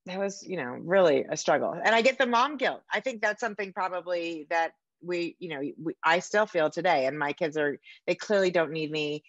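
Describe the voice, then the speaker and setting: Middle aged lady host doing an interview on video call, lowish voice, kinda halting, bit muffled. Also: dry recording. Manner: halting, searching for words. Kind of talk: conversational author interview. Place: home room, on a video call. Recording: slightly dull video-call audio.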